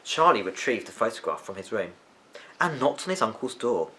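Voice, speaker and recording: A man narrating a children's story as an expressive reader. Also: fairly low pitch, fairly quick, a little hiss or hum, rough recording.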